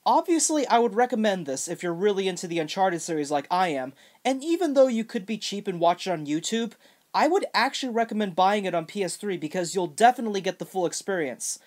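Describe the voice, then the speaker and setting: A woman's voice: medium pitch, very animated, fast talker, darkish tone. A woman reading a scripted video game review as narration.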